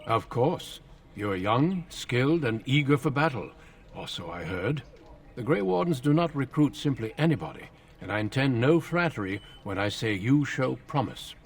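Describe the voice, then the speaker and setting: An actor playing a grave, courteous warrior recruiter in a fantasy game, speaking formally and solemnly. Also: male voice, mid-range voice, lively intonation, moderate pace, recorded from a distance. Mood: measured.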